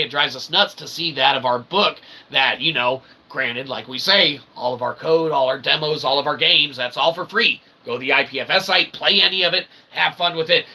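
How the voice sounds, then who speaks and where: Forceful, gravelly man on a rant. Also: fairly high pitch, slight background noise, low-quality recording. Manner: emphatic, indignant, sweary; wordy mock-formal phrasing with frequent "okay?" tags. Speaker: independent author and channel host. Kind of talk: casual ranting monologue to viewers.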